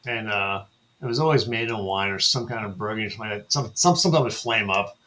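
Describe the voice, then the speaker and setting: A man telling a story in casual chat as a co-host, halting, with many fillers. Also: fairly low pitch, pushed and bright, slight background noise.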